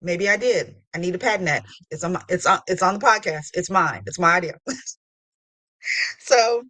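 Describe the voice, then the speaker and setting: A woman's voice: on the low side, moderate pace, sharp tone, dry recording. A woman hosting a podcast, chatting casually and interviewing in a playful, effusive way. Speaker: podcast host.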